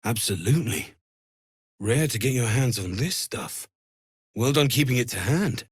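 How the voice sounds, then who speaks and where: Kindly young fantasy smith for a video game, really husky breathy voice, sincere and understated. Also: male voice, mid-range voice, animated, a little slow. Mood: appreciative. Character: kindly, earnest young male infernal blacksmith from a fantasy world. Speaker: blacksmith and trader. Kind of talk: video game character dialogue.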